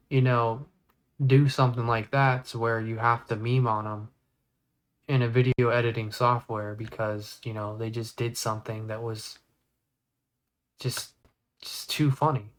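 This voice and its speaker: A man giving an informal, rambling tutorial in a casual speaking style. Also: medium pitch. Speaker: video editing tutorial presenter.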